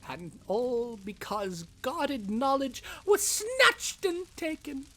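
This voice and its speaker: A woman playing a sly, mocking schemer in a fantasy audio drama, with theatrical, drawn-out delivery over music. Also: high voice, very animated, pushed and bright, exaggerated voice. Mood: taunting. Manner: theatrical, drawn out, swooping from whispers to cries. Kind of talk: fantasy audio roleplay acting. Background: music behind the voice.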